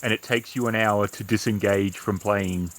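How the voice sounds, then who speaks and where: A man working as game master, describing scenes in a measured way with pauses during a tabletop roleplaying game. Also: lowish voice, fairly even intonation, a bit bright, slight background noise.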